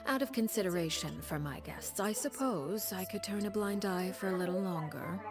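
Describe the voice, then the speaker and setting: An actress voicing a poised, ruthless woman who leads a strict house, speaking calmly with quiet menace in a game scene. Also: medium pitch, loud music behind the voice, close to an everyday voice. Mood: menacing. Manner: calm, measured, quietly menacing. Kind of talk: video game voice acting.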